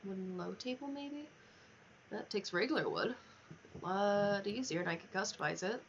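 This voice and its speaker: A woman's voice: mid-range voice, lively intonation, normal speed. Woman streamer, dull noisy lo-fi mic. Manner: thinking aloud, muttering to herself. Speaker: livestreamer. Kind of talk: casual chat while playing a video game. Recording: dull lo-fi mic, clear background noise.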